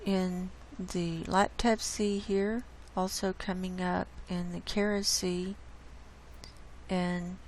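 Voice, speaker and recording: A woman host with a hoarse voice, casually walking viewers through data shown on her screen. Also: lowish voice, slight background noise, rough recording. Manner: unhurried, explains data on screen. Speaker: channel host presenting climate data. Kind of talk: casual screen-share presentation.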